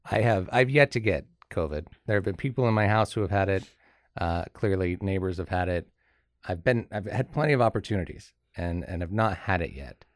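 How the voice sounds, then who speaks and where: A man co-hosting a casual chat podcast, his voice a little hoarse. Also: sits low, lively intonation, a little fast, no echo, clean studio sound. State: slightly hoarse. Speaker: podcast co-host. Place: studio.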